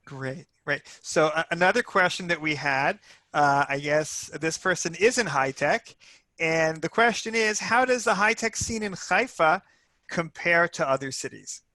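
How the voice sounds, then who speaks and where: A man moderating a webinar over a video call, brisk and friendly. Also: fairly high pitch, expressive, pushed and bright, no echo. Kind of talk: webinar talk.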